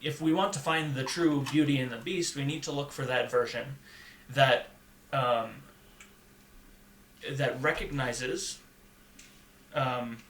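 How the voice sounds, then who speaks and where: In an echoey room with faint noise behind him, a man talks through his ideas as a podcast panelist. He has a mid-range voice and picks his phrases in a halting, measured way. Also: medium pitch, steady tone, fairly bright tone. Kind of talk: conversational discussion.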